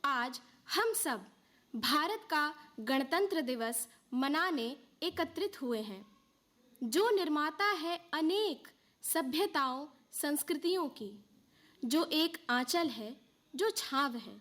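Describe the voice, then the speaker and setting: A woman's voice: high-pitched, lively intonation, slow, a bit bright. A woman narrating a stage dramatisation in scripted, poetic declamation.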